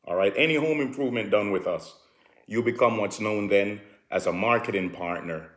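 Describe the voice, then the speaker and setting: A middle-aged man with a Jamaican accent, pitching home improvement deals in a relaxed, chatty way, peppered with "all right". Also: normal speed, echoey room. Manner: relaxed, repetitive "all right" fillers, sips a drink while talking. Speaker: home improvement company promoter. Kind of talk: casual promotional talk to camera.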